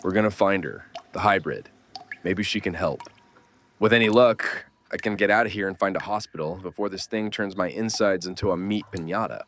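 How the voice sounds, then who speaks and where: An actor playing a gruff, weary survivor with a wry, streetwise way of explaining things, in a horror audio drama. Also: male voice, sits low, brisk, a bit bright. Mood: wry, determined. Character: gruff, weary male survivor of a nightmare dimension.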